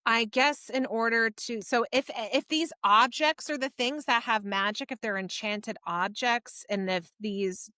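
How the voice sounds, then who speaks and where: A woman with a bright, forward voice talks through a book as a podcast co-host. She explains in a casual, animated way, and the sound is close and dry. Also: fairly high pitch, unhurried, hardly any pauses, dry recording. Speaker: podcast co-host reviewing books.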